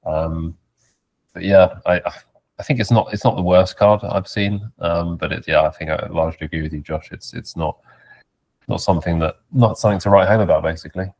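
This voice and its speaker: Very deep, measured podcast co-host. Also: male voice, fairly even intonation, gravelly, darkish tone. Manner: measured, hedging. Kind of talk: casual podcast chat.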